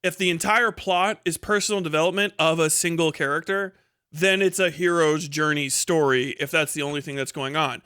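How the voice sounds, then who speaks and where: A man with a fairly high, crisp voice talks off the cuff on a livestream. The sound is bright and very dry. He explains things casually and haltingly, swearing freely. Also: steady tone, dry recording. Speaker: live streamer reacting to a video.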